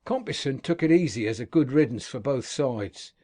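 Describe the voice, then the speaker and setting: A man voicing a rough old male convict who tells his past in earthy dialect speech. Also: mid-range voice, animated, normal speed, mellow, close to an everyday voice. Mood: grim recounting. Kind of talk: audiobook character reading.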